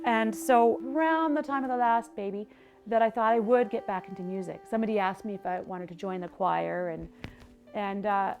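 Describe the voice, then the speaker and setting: A woman's voice: medium pitch, normal speed, a little mellow, soft background music. A woman from Argentina, a singer and mother, speaking in an interview in an earnest, reflective way with some restarts.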